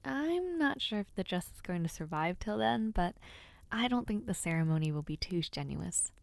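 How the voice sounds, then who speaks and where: A woman playing an affectionate young noblewoman in an intimate audio roleplay, speaking softly and breathily to the listener with hesitant sighs and murmurs. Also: fairly low pitch, big pitch swings, moderate pace, gravelly, no room sound. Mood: affectionate, playful. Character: affectionate young noblewoman, playful but nervous before her debut.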